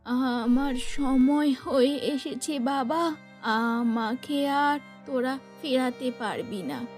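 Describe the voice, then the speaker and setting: Woman doing dying cartoon mom, frail n slow, high voice, pleading, music behind. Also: a little mellow. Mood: weak, pleading. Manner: weak, slow, halting, pleading. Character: frail, dying, loving bedridden mother.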